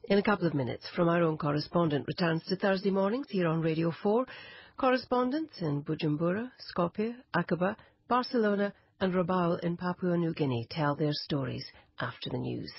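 A woman's voice: on the low side, brisk, slightly rough. A woman reading scripted continuity links as a radio announcer. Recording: dry, slightly muffled radio studio.

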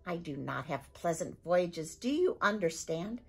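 Woman doing a shouting Viking chief. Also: on the low side, expressive, unhurried, creaky, husky, exaggerated voice. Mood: blustering. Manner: shouting, indignant bluster. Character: blustering loud male Viking chief, gruff.

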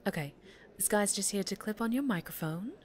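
Casting: actress as female TV interviewer, medium pitch, polished and encouraging, articulate showy delivery for an audio roleplay. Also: expressive, brisk, lightly acted. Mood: friendly, brisk. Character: polished, encouraging female TV talk show host. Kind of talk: scripted ASMR roleplay acting, live talk show.

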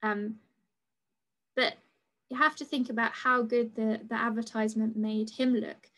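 Young woman, slightly high voice, halting academic talk over a webcam, full of ums and restarts. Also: little pitch movement, fairly bright tone. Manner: hesitant, many fillers and restarts. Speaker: PhD researcher giving a talk. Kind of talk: online lecture presentation. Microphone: video call webcam.

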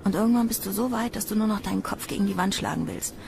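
Jaded, creaky-voiced female recruit. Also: mid-range voice, fast talker, noisy background. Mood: weary sarcasm. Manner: sarcastic, offhand. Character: jaded, streetwise young female space recruit. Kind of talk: casual radio drama dialogue.

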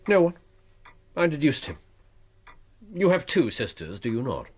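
An actor playing a keenly observant, self-assured Victorian gentleman detective with an English accent, precise and probing, in a radio drama. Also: medium pitch, very animated, moderate pace, breathy edge. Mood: brisk, probing. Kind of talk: period radio drama acting.

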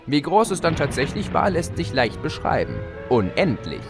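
A man's voice: on the high side, sing-song, normal speed. A man playing a gloating, mischievous chaos spirit who tells of his schemes in a theatrical, teasing way in an audio drama. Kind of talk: German fan audio drama, storytelling. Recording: music behind the voice.

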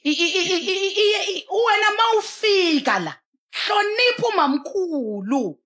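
An emphatic South African woman in a studio talk setting, close on a headset mic. Her pitch moves around in a lively way as she exhorts like a pastor, switching now and then into English. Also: a little slow. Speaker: pastor and talk show panelist. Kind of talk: animated opinionated talk, mixing in English.

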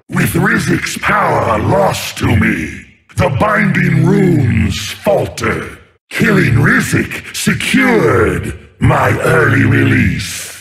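Video game sea monster, growling tyrant, menacing, gloating, theatrical, wildly swooping pitch, very slow delivery. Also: male voice, a bit bright, full character voice. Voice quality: gravelly, growling. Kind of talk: video game character voice lines.

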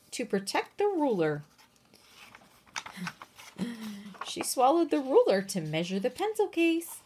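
A woman reading a children's picture book aloud in an expressive, sing-song, emphatic way, with chatty asides to young listeners. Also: on the high side, a little hiss or hum. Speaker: read-aloud storyteller.

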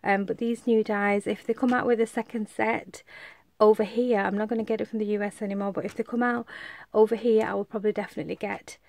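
A chatty woman from the UK shows off her handmade cards with a stuffy, congested voice. She rambles casually with many fillers, speaking at a middling pitch off camera. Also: fairly even intonation, fast talker, vocal fry, a little mellow. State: congested, coming down with a cold. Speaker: craft vlogger. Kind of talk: casual show-and-tell.

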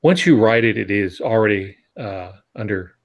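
A man in his sixties giving casual, explanatory advice on a podcast video call, pausing between phrases. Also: on the low side, lively intonation. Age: 60s. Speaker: podcast co-host and published author. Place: home office, indoors. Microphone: earbud headset on video call.

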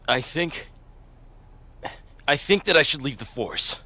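A man playing a brooding, remorseful young fantasy warrior in a video game, speaking in a grave, measured way. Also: a little high, expressive, bright, forceful tone, full character voice. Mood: somber, resolved. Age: young adult. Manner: grave, measured, self-reproaching. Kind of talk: video game cutscene acting.

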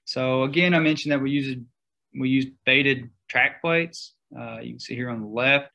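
A young man presenting slides in a seminar talk over a video call, halting and full of fillers. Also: medium pitch, vocal fry, sharp tone. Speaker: guest speaker.

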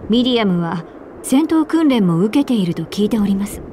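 A woman voicing a composed, polite young church woman in a Japanese video game, formal, refined and gently firm. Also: mid-range voice, sing-song, moderate pace, a little hiss or hum, close to an everyday voice.

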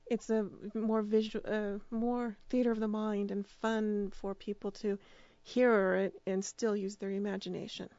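A woman's voice: mid-range voice, level tone, a little slow, dark tone, no room sound, lo-fi sound. A woman speaking as a radio drama producer and director, giving casual answers in an interview.

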